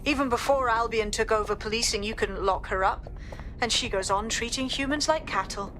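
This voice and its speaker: A woman's voice: fairly high pitch, fairly quick, a bit bright, a little hiss or hum, lightly acted. A woman playing a stern, skeptical leader of a hacker group in a game. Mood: challenging. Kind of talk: game cutscene dialogue.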